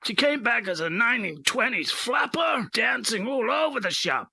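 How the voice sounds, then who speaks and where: A woman playing a frantic, exasperated, melodramatic customer in comedy radio theater, exclaiming loudly and drawing out her words. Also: on the high side, sing-song, vocal fry, husky, no echo, studio quality, full character voice. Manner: shouting, drawn-out, exclaiming.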